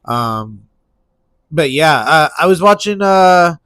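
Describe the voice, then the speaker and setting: A man's voice: a little high, lively intonation, slow, a bit bright, smooth, no echo, clean studio sound. A man with a stuffy nose hosting casual solo sports talk, rambling with lots of restarts and fillers. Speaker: MMA commentary channel host.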